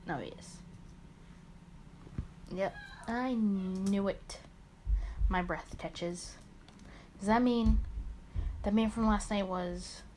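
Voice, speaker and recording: A woman's voice: mid-range voice, very slow, long pauses. Woman reading a game aloud, noisy background. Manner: expressive reading, stumbles and self-corrects, mutters asides. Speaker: gaming video host reading a visual novel aloud. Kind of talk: let's play read-aloud with casual asides.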